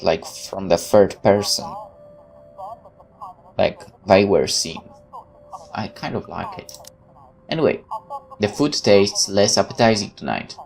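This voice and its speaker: Expressive man narrating a game. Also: on the low side, vocal fry, rough recording. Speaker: let's play host reading game text aloud. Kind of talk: dramatic read-aloud with casual reactions.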